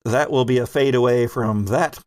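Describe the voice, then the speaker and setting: A man narrating a sci-fi horror tabletop game as host and game master, with measured, dramatic storytelling. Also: medium pitch, a little mellow, no room sound, clean studio sound.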